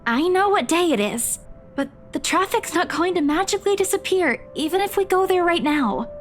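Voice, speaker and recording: A woman's voice: very high-pitched, animated, moderate pace, soft background music. A woman playing an anxious, caring teenage girl in a visual novel, speaking in an earnest, hesitant way. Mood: mildly defensive. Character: anxious, caring teenage girl calling after her friend.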